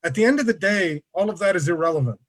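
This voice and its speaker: A man presenting an online workshop over a video call, relaxed and conversational, with many fillers. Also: sits high, fast talker. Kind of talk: casual online lecture.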